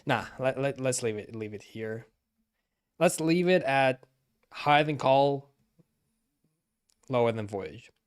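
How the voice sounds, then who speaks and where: A man's voice: medium pitch, takes it slowly, pauses often. A man giving casual livestream commentary in a hesitant way, often repeating himself. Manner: hesitant, repeats himself, frequent throat clearing.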